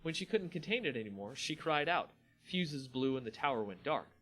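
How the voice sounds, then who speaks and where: A man reading a fantasy story aloud as a theatrical, deliberate dramatic narrator. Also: fairly high pitch, animated, fairly bright tone, faint music behind, low-quality recording.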